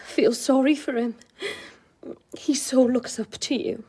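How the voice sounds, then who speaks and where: A high, husky, vulnerable young wife. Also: female voice, steady tone, measured pace, mellow. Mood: regretful. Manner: confiding, vulnerable. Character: troubled, lonely young wife confiding her doubts. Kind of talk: radio drama acting.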